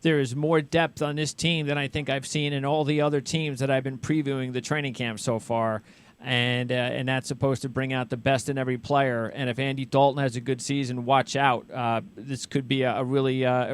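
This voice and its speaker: A man hosting a sports radio show in a casual, halting, rambling way, often repeating words as he goes. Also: mid-range voice, little pitch movement, clear, resonant tone. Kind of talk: casual interview and show patter.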